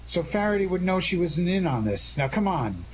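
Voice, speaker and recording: A man's voice: sits high, big pitch swings, normal speed, a little hiss or hum, low-quality recording. A man playing a cocky, wisecracking amateur sleuth in a 1940s-style radio detective drama, breezy and tough-talking. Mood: brisk, wry.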